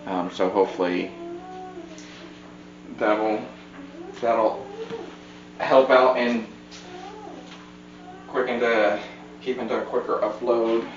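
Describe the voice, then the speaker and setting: A man with a fairly deep voice chats casually as a podcast co-host. The recording is roomy and somewhat dull, with a faint hum behind him. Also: steady tone, slow pace, pauses often. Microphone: podcast microphone on a tripod. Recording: muffled roomy podcast mic, background hum.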